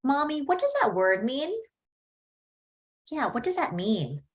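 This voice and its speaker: A woman's voice: sits high, very animated, unhurried, pitched above the performer's own voice. A woman voicing a curious, impatient young child in a script reading.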